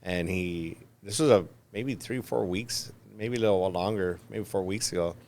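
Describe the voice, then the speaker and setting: A man's voice: low-pitched, normal speed, darkish tone. An American man hosting a podcast in a casual, informal chatty style. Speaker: podcast host. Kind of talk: casual chat.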